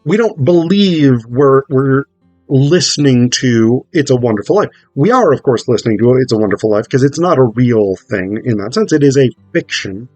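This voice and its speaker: A man getting over a cold gives an informal, analytical talk about theatre, thinking aloud in a halting way with rhetorical check-ins. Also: mid-range voice, lively intonation, darkish tone, no room sound, soft background music. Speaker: theatre performer explaining a play.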